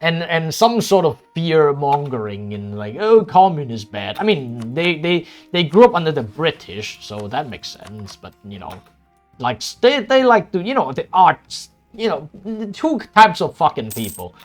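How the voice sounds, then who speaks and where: A man whose first language is Cantonese, talking loosely while he streams a game. His voice sits a little high and swings up and down a lot, and he stops and restarts often, with swearing thrown in. Faint music plays underneath. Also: very animated. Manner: rambling, halting, many restarts and swearing. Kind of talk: casual chat while streaming a strategy game.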